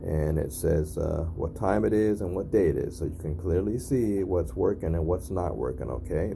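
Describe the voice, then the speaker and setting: Very deep, gravelly man. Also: noisy background. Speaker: narrator documenting an intercom test. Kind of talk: casual on-site video demonstration. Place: at a building's entry intercom panel.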